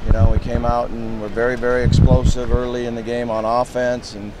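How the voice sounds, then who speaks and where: A man in his fifties, a head football coach, answering reporters outdoors at a stadium in a plain, measured way with lots of "you know" fillers. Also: mid-range voice, little pitch movement, heavy background noise. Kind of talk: post-game press interview.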